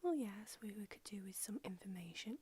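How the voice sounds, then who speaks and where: A woman's voice: mid-range voice, expressive, brisk, dark tone, breathy edge. A woman whispering as a brusque old vampire doctor. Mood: whispery, brusque. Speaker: ASMR roleplayer. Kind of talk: ASMR roleplay.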